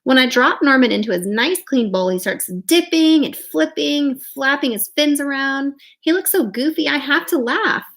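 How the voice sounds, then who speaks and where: A woman's voice: high-pitched, expressive, moderate pace, few pauses, a bit bright. A woman reading a picture book aloud expressively, with chatty, casual asides to her listeners. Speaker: read-aloud storyteller.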